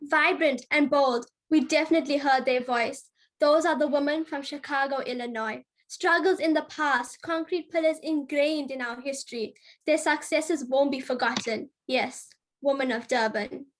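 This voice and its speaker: Young woman w a high voice, careful and deliberate, reading her part on a video call. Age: young adult. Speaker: youth ambassador presenter. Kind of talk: reciting a poem and reading a presentation.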